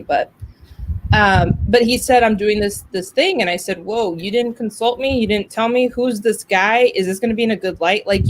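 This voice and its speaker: A woman's voice: medium pitch, slight background noise, low-quality recording. A woman hosting a podcast in casual conversation, talkative and telling stories with acted-out quotes. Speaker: podcast host.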